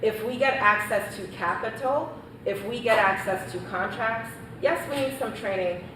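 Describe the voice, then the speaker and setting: A woman's voice: medium pitch, normal speed. A woman entrepreneur, speaking confidently and emphatically on a panel, addressing the audience directly. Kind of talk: panel discussion answer. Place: panel event in a hall. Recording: echoey room, distant mic, background noise.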